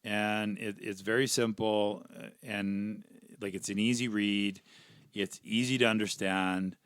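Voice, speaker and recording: A man's voice: lowish voice, fairly even intonation, unhurried, fairly bright tone, smooth, no room sound, studio quality. A former pro hockey player, a man, talking earnestly and reflectively as a podcast guest, often checking in with "right?". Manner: reflective, earnest, peppers talk with "right?" and "you know". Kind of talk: casual interview chat.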